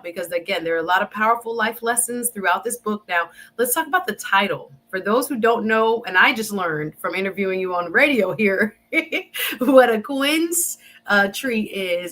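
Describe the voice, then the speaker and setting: Bright-voiced enthusiastic woman host. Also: mid-range voice. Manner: enthusiastic, chatty, addresses viewers directly. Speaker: show host. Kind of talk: upbeat interview hosting.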